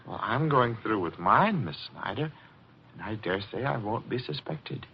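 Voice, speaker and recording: An actor playing a deranged art dealer's clerk, a polite and obsessive killer, in 1940s radio suspense style. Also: male voice, lowish voice, very animated, normal speed, creaky, slightly rough, exaggerated voice. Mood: cold menace. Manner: polite menace, theatrical. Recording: muffled, noisy old radio recording.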